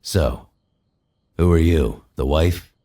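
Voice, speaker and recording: A man playing a gruff superhero loner, menacing yet flirtatious, with a rough gravelly voice in an audio drama. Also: extremely low pitch, takes it slowly, warm tone, exaggerated voice. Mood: flirty.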